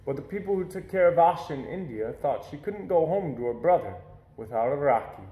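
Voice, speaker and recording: A man voicing a gentle, patient father in a storybook, with a calm, reassuring delivery. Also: a little high, a little fast, roomy sound, narrow, tinny audio, natural voice. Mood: gentle, pleased.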